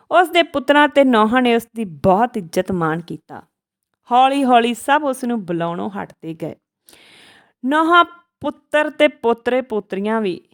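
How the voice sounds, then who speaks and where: A woman storyteller narrates a scripted tale. She reads it out in measured phrases, occasionally tripping over a word. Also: fairly high pitch.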